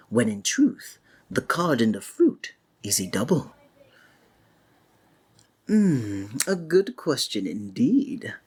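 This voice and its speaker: A woman playing a flamboyant, sinister male stage magician in an ASMR roleplay, with breathy, honeyed, flowery theatrical speech that often drops into a whisper. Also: low voice, a little mellow, full character voice. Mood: patient, teasing.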